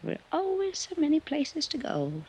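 A woman's voice: high voice, wildly swooping pitch, normal speed, darkish tone, full character voice. An actress playing a frail, weary, wistful older woman in an old-time radio drama, lingering sentimentally over her words. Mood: wistful, fond. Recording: dull, noisy old radio recording.

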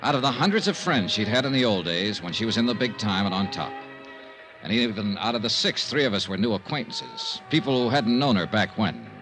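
An actor playing a hard-boiled, dryly wisecracking investigator in an old-time radio mystery. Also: male voice, on the low side, expressive, fairly quick, over music, rough recording. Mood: wry, brooding. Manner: dry, wisecracking. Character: wry, hard-boiled male investigator narrating his case. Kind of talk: 1950s radio detective acting and narration.

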